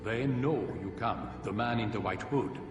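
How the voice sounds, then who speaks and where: A man's voice: fairly low pitch, moderate pace, far from the mic, music in the background, lightly acted. A man playing a solemn master of assassins in a video game, with long echoing reverb. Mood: solemn, instructing.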